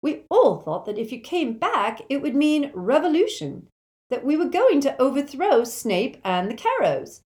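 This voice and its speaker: A woman narrator plays a teenage schoolboy rebel in an audiobook. He is determined and indignant, pushing each phrase with insistent emphasis. The pitch is lifted and moves around a lot. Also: sing-song, a little slow, a little mellow. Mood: proud, eager. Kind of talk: audiobook character voice.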